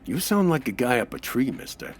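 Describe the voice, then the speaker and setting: An actor playing a sardonic, menacing gangster boss in a comedic old-time radio crime drama, husky and clipped. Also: male voice, medium pitch, big pitch swings, a little fast. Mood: cold, impatient. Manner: clipped wisecracking tough-guy talk.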